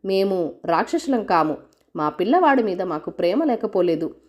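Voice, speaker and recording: A woman voicing a calm male householder who soothes and explains to a young guest in a storybook tale. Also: mid-range voice, moderate pace, close to an everyday voice. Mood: calm, reassuring.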